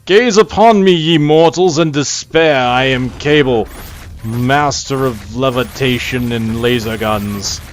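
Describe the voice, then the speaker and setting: A man's voice: mid-range voice, slow, a little hiss or hum, close to an everyday voice. A man voicing a pompous, menacing self-proclaimed god in a grandiose, theatrical way for fun over a video game. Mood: grandiose, gloating.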